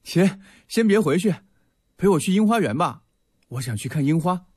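A man's voice: a little high, animated, normal speed, darkish tone, breathy edge, no echo, studio quality. A man playing a learned, earnest and sensitive young male student in an audio drama, talkative and heartfelt. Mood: modest, friendly. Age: young adult. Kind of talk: Mandarin audio drama acting. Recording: background music under the voice.

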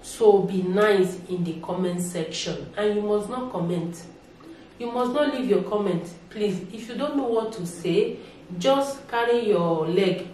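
A young Nigerian woman speaks to the camera from her sofa with lively gestures, repeating words and slipping into Pidgin. Her voice is set back from a distant mic and rings around a very echoey room. A faint hiss sits under it. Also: mid-range voice. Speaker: YouTuber. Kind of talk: casual opinion vlog to camera. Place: indoors, living room with a sofa.

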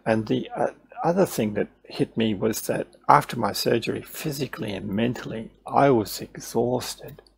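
A man's voice: mid-range voice, normal speed, gravelly, slight background noise. A middle-aged man talking to his webcam through a headset mic about his own recovery, candid and thinking aloud with frequent restarts. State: voice still recovering after losing it. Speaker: video creator sharing his recovery. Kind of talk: casual talk to camera over slides.

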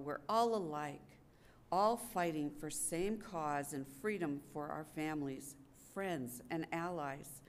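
An older woman reads a wartime letter aloud as a readers theater performer at a podium microphone. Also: sits low. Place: public gallery event room, indoors. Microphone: gooseneck podium microphone. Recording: clearly noisy live room recording.

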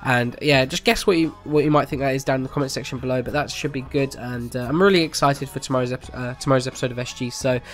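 A man's voice: mid-range voice, fairly even intonation, fast, few pauses, faint music behind. A young man with a heavy cold, hosting a game clip countdown in casual, rambling talk. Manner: rambling, with fillers and restarts. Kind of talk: casual video narration.

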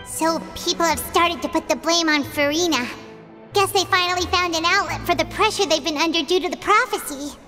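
A woman voicing a tiny, childlike floating fairy companion in a video game, cheerful, curious and expressive, with a squeaky voice. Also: fairly quick, faint music behind. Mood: curious, concerned. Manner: refers to herself in third person, expressive.

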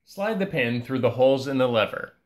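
A man giving a calm, scripted voice-over that walks through a repair step by step. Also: mid-range voice, brisk. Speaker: repair channel host.

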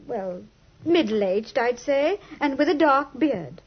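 A woman's voice: high voice, expressive, takes it slowly, slightly rough, heavily acted. An actress playing a genteel, earnest young British lady client, speaking expressively in the manner of 1950s radio drama. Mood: earnest, puzzled. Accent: British English. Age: young adult. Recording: muffled, lo-fi 1950s radio recording.

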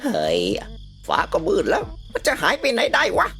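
A woman's voice: on the high side, huge pitch swings, normal speed, creaky, a bit bright, faint music behind, full character voice. A woman voicing a cranky old village grandmother in a cartoon comedy, brash, blunt and scolding. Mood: gruff, impatient. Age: older.

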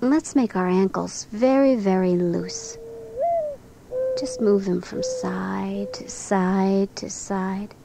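A woman narrating a guided bedtime relaxation for children in a gentle, soothing, unhurried manner. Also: medium pitch, wildly swooping pitch. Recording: clearly noisy recording with background ambience.

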